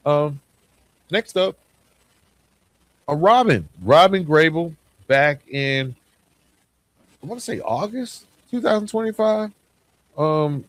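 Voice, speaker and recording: Male podcast host, mid-range pitch, conversational and emphatic, solo reflective delivery.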